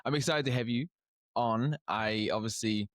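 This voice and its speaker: A man's voice: mid-range voice, no echo, clean studio sound. A young man hosting a podcast interview, talking casually and stopping often to restart his sentences. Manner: halting, many restarts and fillers. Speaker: podcast host.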